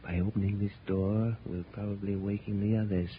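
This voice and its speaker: An actor playing a scheming, commanding treasure hunter in a 1930s radio adventure serial, speaking in deliberate, formal phrases. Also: male voice, lowish voice, little pitch movement, close to an everyday voice. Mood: cool, scheming. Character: scheming, commanding treasure-hunting conspirator, coolly calculating. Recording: muffled, noisy 1930s radio recording.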